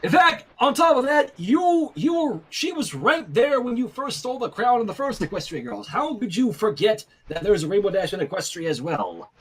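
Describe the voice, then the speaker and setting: A man with a high, husky voice reads fan fiction and comments along casually. His delivery is conversational and swings widely in pitch. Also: moderate pace, few pauses. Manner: animated, conversational. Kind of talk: casual commentary and story narration.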